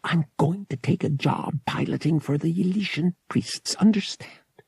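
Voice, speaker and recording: A man's voice: on the high side, unhurried, vocal fry, a little mellow, husky, no echo, clean studio sound, voice pushed up, exaggerated voice. A man playing a brash, impatient young smuggler pilot in an audiobook, with a blunt, slangy way of talking. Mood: coaxing.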